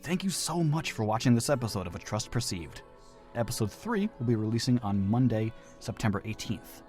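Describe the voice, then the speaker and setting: A man's voice: mid-range voice, very animated, a little fast, a little mellow. A man reading scripted credits and an outro as the show's producer. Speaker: writer and producer announcing credits. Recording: music under the voice. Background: music.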